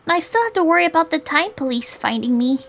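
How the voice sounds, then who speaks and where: Woman acting out a high, eager teenage girl. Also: expressive, fast talker, a bit bright, a little hiss or hum. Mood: earnest. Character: eager, starry-eyed seventeen-year-old girl time traveler. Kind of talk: visual novel read aloud.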